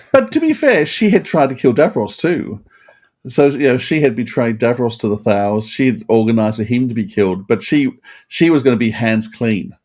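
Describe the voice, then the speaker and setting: A man's voice: mid-range voice, animated, normal speed, darkish tone. A man talking casually as a co-host in a podcast discussion.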